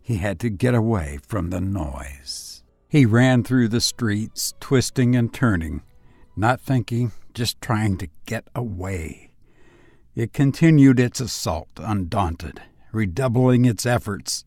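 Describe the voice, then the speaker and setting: A man narrates scripted horror as the host of an audio story show. He takes his time, leaving deliberate, suspenseful pauses. The recording is dry, with faint music behind him. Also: on the low side, animated, darkish tone, no echo.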